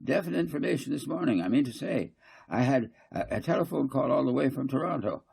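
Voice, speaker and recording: A man reading a story, voicing a flustered, argumentative town councillor who blusters haltingly and repeats himself. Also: mid-range voice, lively intonation, gravelly, a little mellow, husky, voice pushed up, heavily acted. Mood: agitated, blustering.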